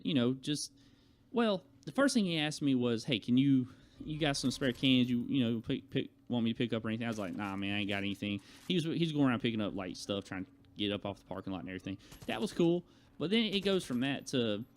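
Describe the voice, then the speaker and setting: Male YouTuber, mid-pitched voice, conversational and colloquial, loose storytelling that slips into quoted dialogue. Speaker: YouTuber telling personal stories to viewers. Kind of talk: casual rambling storytelling.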